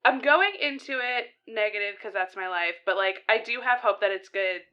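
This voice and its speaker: A woman's voice: mid-range voice, moderate pace, smooth. Emphatic woman on slightly dull audio. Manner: emphatic, swears freely, goes off on tangents. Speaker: podcast co-host. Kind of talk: casual chat among friends.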